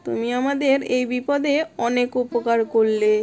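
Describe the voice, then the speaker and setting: Woman as a high-voiced motherly cartoon fox. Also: steady tone, moderate pace, darkish tone, lightly acted. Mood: grateful. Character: devout, caring mother fox, steadfast and protective. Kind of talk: children's cartoon voice acting.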